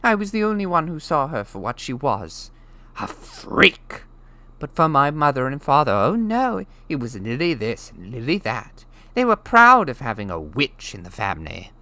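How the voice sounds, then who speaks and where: A man's voice: mid-range voice, wildly swooping pitch, normal speed, sharp tone, no echo, pitched above the performer's own voice, exaggerated voice. A man voicing a bitter, scornful middle-aged woman in a storybook reading, ranting with spiteful, heavily stressed words. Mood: shrieking scorn. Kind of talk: storybook character voice in an audiobook reading.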